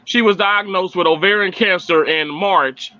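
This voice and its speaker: A man's voice: high voice, moderate pace, sharp tone, no echo, highs cut off. A man from Mississippi chatting on a live stream from his family home, upbeat, earnest and faith-filled. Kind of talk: casual live stream chat.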